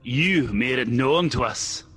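An actor playing a weary hired murderer in a classic radio drama. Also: male voice, mid-range voice, expressive, takes it slowly, fairly bright tone, slightly rough, full character voice. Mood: grim.

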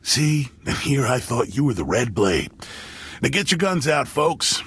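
An actor playing a cocky hired thug in a video game, with a rough, raspy voice and a sardonic, offhand manner. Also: male voice, mid-range voice, very animated, unhurried, fairly bright tone, slight background noise. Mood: cocky, mocking. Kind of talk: video game cutscene acting.

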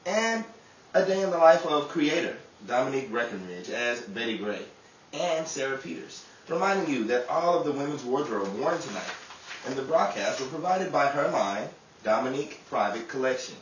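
A man's voice: mid-range voice, big pitch swings, moderate pace, slight background noise. A man in the cast reading credits and making dry joking asides at a casual table read. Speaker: cast member. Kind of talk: casual banter and announcer reading. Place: small dining room set, indoors. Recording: echoey room, from far off.

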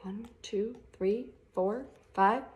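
A woman in her thirties reading a picture book aloud to children in an animated, expressive way, like a teacher at story time. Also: medium pitch. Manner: expressive, with gasps and drawn-out words for children. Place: living room at home, on a couch. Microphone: phone camera about a metre away.

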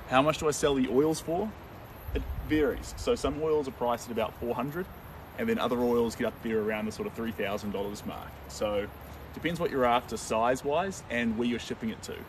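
Young man, casual livestream talk while painting, distant camera, loud outdoor rustle and wind. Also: mid-range voice. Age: young adult. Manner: relaxed, chatty, with many ums while painting. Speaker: painter livestreaming his work. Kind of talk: casual livestream chat answering viewer comments. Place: outdoors on a lawn under open sky. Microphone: camera standing a few meters away.

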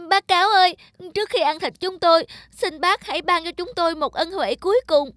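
A woman's voice: very high-pitched, fairly quick, fairly bright tone, a little hiss or hum. A woman voicing a frightened but crafty cartoon hen, polite and pleading. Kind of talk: animated cartoon dialogue.

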